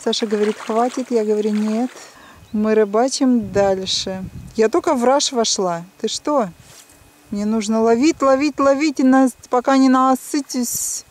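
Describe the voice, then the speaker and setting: A woman on a fishing trip, talking casually and exclaiming excitedly over the catch outdoors by a lake. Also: a little high, unhurried. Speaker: fishing companion. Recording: wind and water noise outdoors.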